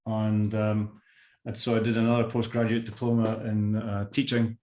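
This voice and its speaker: A man in his sixties from Scotland with a Scottish accent, a guest artist chatting over a video call in an unhurried, self-deprecating way with many restarts. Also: on the low side, monotone, dark tone, echoey room. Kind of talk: casual interview chat.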